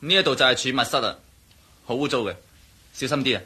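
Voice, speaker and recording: A man's voice: medium pitch, quick pace, pushed and bright, slightly rough. A man playing a sly, cheeky henchman in a radio drama, speaking Hong Kong Cantonese in a glib, sarcastic way. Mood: glib, evasive. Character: sly, cheeky male henchman, mocking and evasive.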